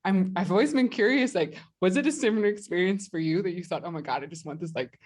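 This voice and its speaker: A woman's voice: fairly low pitch, quick pace. A woman hosting a podcast, speaking in an informal, personal, conversational way and thinking aloud as she goes. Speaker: podcast host. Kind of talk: conversational, personal interview talk.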